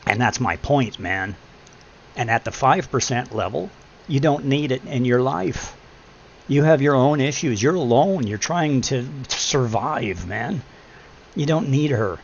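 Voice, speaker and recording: Gravelly deep-voiced middle-aged man. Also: lively intonation, measured pace. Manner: blunt, preachy, swears freely. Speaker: podcast host. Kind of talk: casual advice chat. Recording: muffled lo-fi with faint hum.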